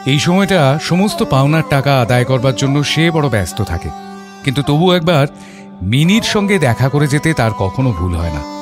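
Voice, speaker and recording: A man narrating an audio story as a thoughtful father, in a measured, literary storytelling manner. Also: medium pitch, big pitch swings, music in the background, natural voice. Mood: reflective. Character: thoughtful middle-class father telling his story.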